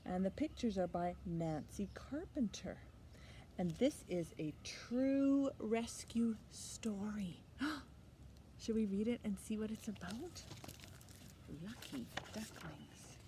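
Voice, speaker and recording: Lady doing kids storytime, unhurried and sing-songy, repeats words, noticeable noise behind her. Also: medium pitch. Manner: sing-song, addressing young children, repeats key words. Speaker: children's library storytime host. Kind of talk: children's storytime teaching, questions and simple repetition. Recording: clear steady background noise.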